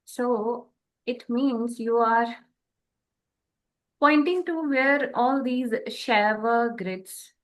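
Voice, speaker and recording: A woman in reflective spiritual conversation, speaking in short phrases with frequent pauses. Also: a little high, rough recording. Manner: halting, pausing between short phrases. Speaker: podcast co-host.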